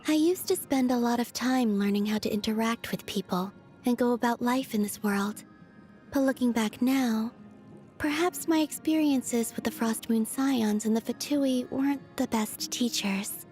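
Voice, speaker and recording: A woman playing a gentle, wistful young moon maiden in video game voice lines, soft-spoken, breathy and measured, telling stories with otherworldly calm. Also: on the high side, soft background music, close to an everyday voice. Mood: tender, wistful. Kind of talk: video game character voice lines, storytelling monologue.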